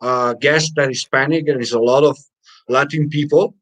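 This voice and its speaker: A man's voice: medium pitch, steady tone, normal speed, fairly bright tone. A man co-hosting a casual live stream, chatting loosely and reading viewers' comments aloud with plenty of fillers.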